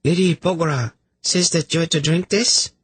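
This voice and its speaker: A teasing young noblewoman for a fantasy storybook, acted by a man. The voice is lifted high and breathy, bright and courteous, with a smug, mocking sweetness. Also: normal speed, no room sound. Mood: bright, polite.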